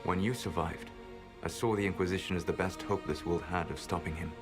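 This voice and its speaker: A man acting in a video game as an ancient elven mage, calm, resolute and sorrowful, speaking in a measured, formal way. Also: deep voice, over music. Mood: grave, explanatory.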